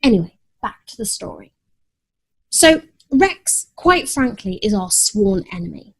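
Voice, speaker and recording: A woman voicing a prim, dramatic, easily offended pet cat who narrates a children's story in an expressive, haughty manner. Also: medium pitch, a little slow, gravelly, breathy edge, full character voice, rough recording. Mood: haughty indignation.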